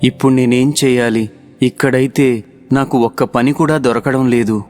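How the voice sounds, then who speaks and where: Man voicing earnest young villager, devoted son, simple dramatic delivery, mid-pitched, cartoon moral tale, music behind. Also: steady tone, moderate pace, a little mellow, lightly acted. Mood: worried, pleading. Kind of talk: animated children's moral story dialogue.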